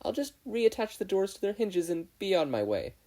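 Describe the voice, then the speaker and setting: A male reader performs a teenage boy inventor from a hero story, pitched well up high. He sounds nervous and polite, quick to fluster and apologize in a squeaky, eager way. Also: sing-song, mellow. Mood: panicked, apologetic.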